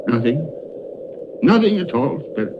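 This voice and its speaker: An actor playing an anguished old miser, pleading and halting in theatrical 1930s radio style. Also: male voice, a little high, sing-song. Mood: meek, evasive. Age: older. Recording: muffled, noisy 1930s radio broadcast.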